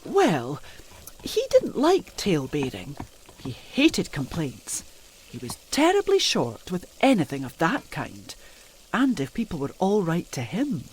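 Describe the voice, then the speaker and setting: Casting: a timid, deferential period housekeeper, halting and slow, for gothic audio drama. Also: female voice, fairly low pitch, wildly swooping pitch, darkish tone, a little hiss or hum, low-quality recording. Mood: uneasy, confiding. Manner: hesitant, halting, old-fashioned servant's speech. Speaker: actor in an audio play.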